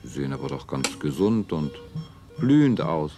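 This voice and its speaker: An actor playing a detached scientist observing a colony, lecturing in a clinical way in a radio play. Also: male voice, rumbling low, sing-song, normal speed, dark tone, soft background music, heavily acted. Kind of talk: 1970s German radio play acting.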